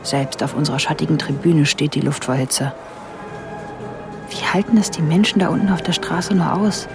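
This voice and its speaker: Young woman narrator, creaky voice, radio play. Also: low voice, steady tone, moderate pace, music in the background. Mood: observant, intense. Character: Rita, young German woman working on Egyptian rockets, observant narrator.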